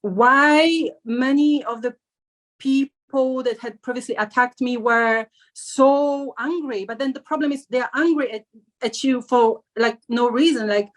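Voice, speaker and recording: A woman hosting a casual conversation, reacting in an informal, expressive way. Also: high voice, poor audio. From Polish Nigerian background. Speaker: host.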